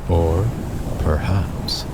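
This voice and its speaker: A man narrating an eerie scripted tale in a solemn, measured, dramatic way with pauses. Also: sits low, fairly even intonation, very noisy. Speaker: storyteller, narrator. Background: music.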